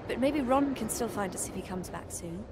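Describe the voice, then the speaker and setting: A woman's voice: fairly high pitch, lively intonation, fairly quick, lightly acted. A woman playing an earnest, worried, bookish teenage witch in a video game, speaking thoughtfully. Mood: thoughtful, uneasy. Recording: clear background noise.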